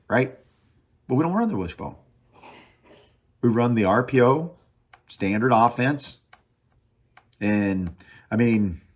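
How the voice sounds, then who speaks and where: Man, mid-pitched voice, sports podcast host, casual solo game review, opinionated, emphatic repeated phrases. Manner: emphatic repetition of short phrases; opinionated fan talk, thinking aloud.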